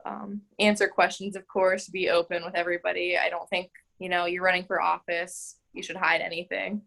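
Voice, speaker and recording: A woman's voice: lowish voice, little pitch movement, brisk, vocal fry, fairly bright tone. A woman in her twenties, a mayoral candidate, answering interview questions in a chatty way full of "like" and "um.". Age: 20s. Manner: chatty, frequent "like" and "um", laughs easily.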